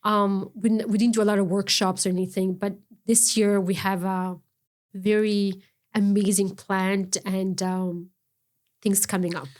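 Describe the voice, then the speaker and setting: A middle-aged woman speaking earnestly in a relaxed interview. Her voice sits at an ordinary pitch, and her phrases often break off and start again. She has an unhurried, conversational way of talking. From Ontario, Canada. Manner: halting, earnest, many restarts. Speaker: guest, non-profit founder.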